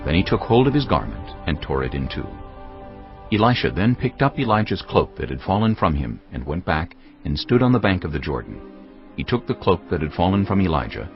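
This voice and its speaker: Male scripture narrator, extremely deep, creaky, solemn, measured, dramatic. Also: very animated. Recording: dull lo-fi sound, music underneath. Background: faint music.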